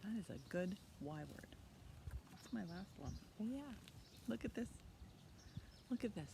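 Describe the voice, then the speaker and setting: Woman, 50s, children's storytime host, unhurried and simple, outdoors with clear background noise. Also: medium pitch, animated. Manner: simple short phrases, encouraging questions to children. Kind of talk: interactive preschool storytime talk. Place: outdoors in a leafy backyard.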